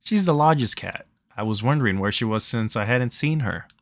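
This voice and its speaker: A man's voice: medium pitch, lively intonation, moderate pace, dry recording. A man hosting a playthrough, reading the game's story aloud and commenting casually on it. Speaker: let's play host reading the game's text aloud.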